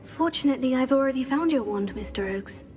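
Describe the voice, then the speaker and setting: An actress playing a young witch student who talks in a calm, matter-of-fact way. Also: high voice, a little fast, soft background music. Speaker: player character.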